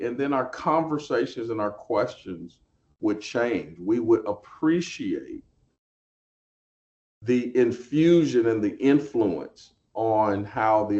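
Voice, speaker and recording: A man on a webinar panel answering a question, slow and halting as he searches for words. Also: medium pitch, animated, a little mellow. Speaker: museum representative, panelist. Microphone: video call. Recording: muffled video call audio.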